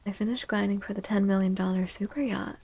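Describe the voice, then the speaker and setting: A woman speaking in soft, hushed, breathy ASMR style, often slipping into whispers, chatting playfully with livestream viewers. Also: mid-range voice, fairly even intonation, quick pace. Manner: playful, teasing. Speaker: ASMR streamer and tarot reader.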